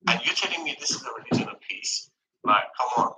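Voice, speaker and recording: A man from Turkey who joins a casual chat as a guest and makes his points in an emphatic, argumentative way, in quick bursts. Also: mid-range voice, expressive, bright, forceful tone, reverberant, distant mic, rough recording. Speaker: guest on a call.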